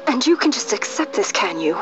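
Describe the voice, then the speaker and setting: A woman's voice: mid-range voice, sing-song, fairly quick, noisy background, lightly acted. A woman playing a proud, anguished young princess in a fantasy video game, speaking formally and with restraint. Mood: troubled, bitter. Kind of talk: formal, archaic video game drama acting. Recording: slightly muffled game audio.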